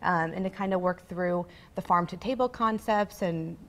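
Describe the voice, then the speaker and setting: A woman's voice: fairly low pitch, normal speed. A young woman representing a farm bureau, explaining steadily on a TV set. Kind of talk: morning show interview. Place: TV studio set.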